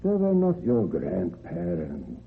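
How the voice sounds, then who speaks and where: A man's voice: low-pitched, wildly swooping pitch, vocal fry, slightly rough, full character voice. An actor playing a mysterious ghostly seafaring grandfather with a foreign accent, portentous, in an old-time radio horror play. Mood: solemn, revelatory. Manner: slow, portentous. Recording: tinny, band-limited vintage radio recording.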